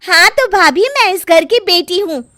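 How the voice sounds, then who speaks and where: A woman's voice: very high voice, animated, normal speed, background noise, voice pushed up. A woman voicing a carefree, chirpy college-age daughter in a cartoon story. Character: carefree teenage college girl, pampered daughter.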